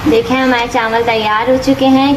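Female home cook for recipe voice-over, high voice, roomy echo. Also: flat delivery, fairly quick, noisy background. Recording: echoey room, music underneath. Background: background music.

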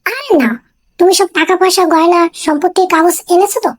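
A woman playing a bossy, scheming young girlfriend in a comic cartoon, coquettish and sharp-tongued. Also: very high voice, brisk. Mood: sweet-talking. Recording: music behind the voice.

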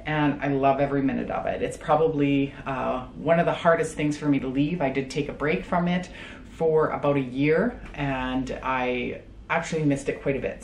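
A woman's voice: low voice, darkish tone, echoey room, slight background noise. A woman in her late thirties from Calgary, a travel nurse chatting casually to camera in a bedroom, upbeat with many fillers. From Calgary, Canada. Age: late 30s. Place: bedroom, indoors.

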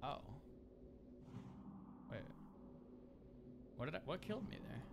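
A man's voice: fairly high pitch, slow pace, vocal fry, noisy background. A young man streaming a video game from a small room on a headset mic, puzzled and then thrilled as he talks through what is happening. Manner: excitable, puzzled, exclaiming. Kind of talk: live gameplay commentary.

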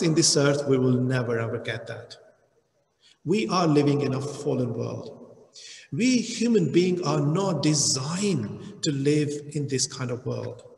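Slow-preaching man in an echoey church hall. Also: fairly high pitch. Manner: slow, deliberate preaching with emphatic rises. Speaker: pastor. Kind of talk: sermon.

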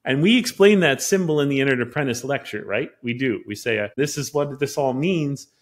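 A man's voice: medium pitch, lively intonation, fairly quick. A man hosting a podcast, talking casually in an earnest, explanatory way. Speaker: podcast host.

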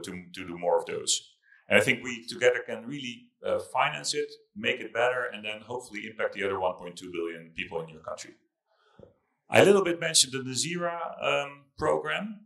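A middle-aged man giving a keynote speech to an audience through a handheld microphone, with a halting delivery and repeated words. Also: medium pitch, creaky, a bit bright, poor audio. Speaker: conference keynote speaker, investment fund chief executive. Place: large indoor conference hall stage.

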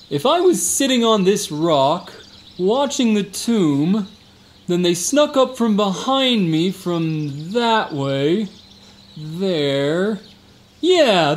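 Casting: self-important bumbling security guard for radio comedy, slow-talking with hugely animated intonation. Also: male voice, high-pitched, full character voice. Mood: bumbling bravado. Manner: bragging, exaggerated, bumbling. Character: boastful, dim, attention-hungry male security guard. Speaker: temple guard witness. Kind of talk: comic radio play.

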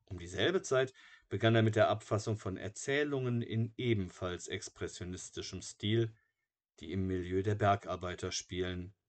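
Low-voiced man reading scripted narration. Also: moderate pace. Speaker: audiobook narrator.

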